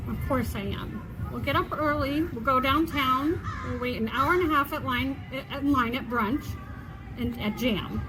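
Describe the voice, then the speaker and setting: An actress playing a practical, teasing wife with an affectionately sardonic manner in a comic stage play. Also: female voice, sits high, unhurried, over music. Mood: wry. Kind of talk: stage comedy acting. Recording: noisy live stage play recording.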